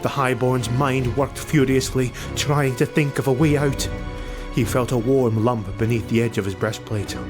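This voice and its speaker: A man's voice: mid-range voice, steady tone, normal speed. A man narrating a fantasy audiobook in a dramatic, scripted style. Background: music.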